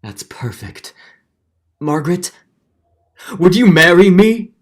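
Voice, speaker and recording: A man's voice: sits high, very animated, takes it slowly, heavily acted. A man playing a middle-aged judge, earnest and guilt-ridden, in a dramatic 1940s radio drama style with hesitant turns. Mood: ardent, earnest. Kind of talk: 1940s radio drama acting with first-person narration.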